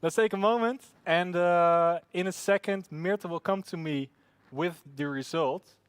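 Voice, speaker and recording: A man's voice: high voice, a little slow, smooth, dry recording. A man hosting a live event, upbeat and hesitant, with many fillers. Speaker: event host.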